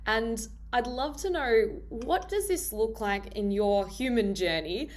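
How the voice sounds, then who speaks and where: A woman's voice: on the high side, animated, slow. A woman hosting a podcast interview in a conversational style, responding and posing questions to her guest. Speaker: podcast host.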